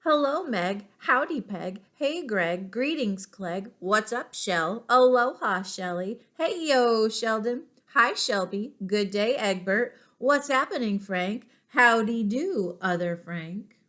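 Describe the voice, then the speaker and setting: Woman playing an earnest storybook egg, slow and friendly. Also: fairly high pitch, animated, fairly bright tone. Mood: excited greeting.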